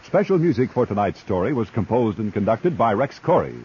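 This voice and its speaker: A man's voice: lowish voice, big pitch swings, fairly quick, darkish tone, slight background noise. An American man reading scripted credits as a 1950s radio announcer. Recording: muffled 1950s radio recording.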